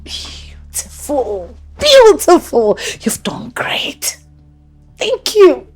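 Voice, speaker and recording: A woman playing a plotting accomplice in a screen drama, measured in delivery. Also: sits high, wildly swooping pitch, faint music behind, exaggerated voice. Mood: gloating.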